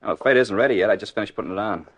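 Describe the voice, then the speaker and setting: Guy playing a real fast talking american bartender, folksy n breezy, old time radio. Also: on the low side, natural voice. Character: chatty, easygoing male bartender in a small bar. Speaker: bit-part actor in a radio drama. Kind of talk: 1950s radio acting.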